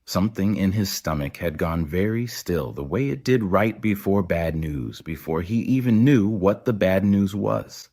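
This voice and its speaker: A man's voice: deep voice, measured pace, hardly any pauses, mellow. A man narrating a scripted audio story as its storyteller.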